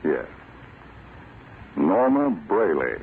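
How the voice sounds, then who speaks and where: Radio drama: calculating male intelligence chief, low voice, authoritative, thin vintage sound. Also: very animated, extremely slow, gravelly, heavily acted. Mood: businesslike. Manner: businesslike. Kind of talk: old-time radio drama acting. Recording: tinny vintage radio recording, light noise.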